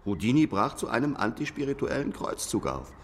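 A man's voice: medium pitch, very animated, brisk, big-room sound. An actor playing a sceptical investigator in a radio drama, pointed and accusing as he questions and recounts. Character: probing, sceptical male investigator. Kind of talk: radio drama dialogue and narration.

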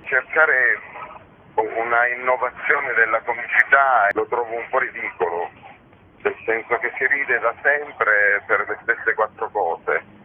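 A man's voice: mid-range voice, unhurried, slight background noise. A man giving a conversational radio interview as a festival organiser, talking in a discursive, frank, matter-of-fact way. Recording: muffled, lo-fi radio interview audio.

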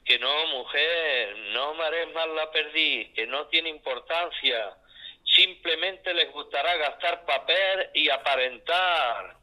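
A man acting a stubborn, housebound flirt with a very sharp, bright, fairly high voice and a Spanish accent. He angles for company over a thin telephone line in a radio play. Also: slow pace, heavily acted. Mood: dismissive. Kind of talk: Spanish audio drama acting.

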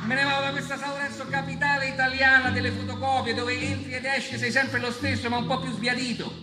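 A man's voice: very high voice, level tone, quick pace. A man with a Roman accent performing a comic spoken-word monologue on stage, with insistent, declamatory phrasing. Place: performance space with an audience. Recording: echoey hall, music behind.

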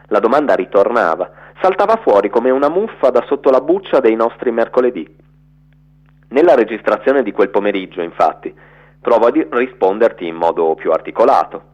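A man giving a theatrical, storytelling reading of a literary letter for radio, in an expressive narrator's delivery. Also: fairly low pitch, highs cut off.

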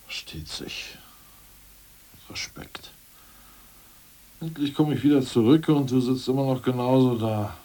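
An actor playing a scheming, self-assured ousted party official in a radio drama, brisk and mocking, who slips into bureaucratic jargon. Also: male voice, medium pitch, dark tone, close to an everyday voice. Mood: casual. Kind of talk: 1980s radio drama acting.

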